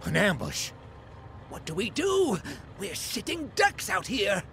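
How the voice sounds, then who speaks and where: An actor playing a fussy, excitable male demon sidekick in a video game, indignant and exclamatory. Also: high voice, slow, soft background music, full character voice. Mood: alarmed.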